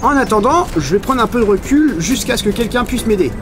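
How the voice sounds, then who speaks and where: A man's voice: extremely high pitch, lively intonation, brisk, music in the background. A man playing a lost holidaymaker muttering sarcastically to himself in a comedy audio drama. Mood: sarcastic grumbling. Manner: sarcastic, self-talking. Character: lost stranded holidaymaker grumbling and cheering self on.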